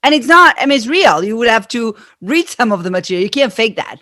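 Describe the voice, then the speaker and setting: A woman's voice: fairly high pitch, very animated, brisk, bright, forceful tone, no echo. A middle-aged woman with an Argentine accent, a guest on a podcast. She explains her ideas earnestly and at length. Her voice sounds dry and close. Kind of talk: interview answers.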